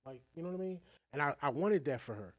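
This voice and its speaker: A man's voice: a little high, lively intonation, quick pace. A man with a Maryland accent from the DC area, hosting a casual interview in slangy, profanity-laced talk. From Landover, Maryland, United States. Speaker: podcast host.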